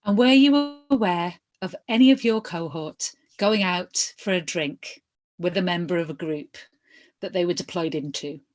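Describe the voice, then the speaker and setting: A woman reading the part of an inquiry lawyer, putting formal questions in a measured, methodical way. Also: lowish voice, lightly acted. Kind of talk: verbatim reading of hearing questions.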